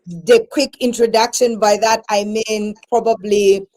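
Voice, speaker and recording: A woman hosting a radio program, asking questions with many pauses and fillers. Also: medium pitch, steady tone, darkish tone. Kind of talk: interview hosting.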